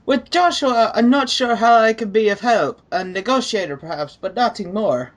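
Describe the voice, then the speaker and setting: Man putting on a high, pompous commenter voice. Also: moderate pace, natural voice. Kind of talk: dramatic reading of online comments.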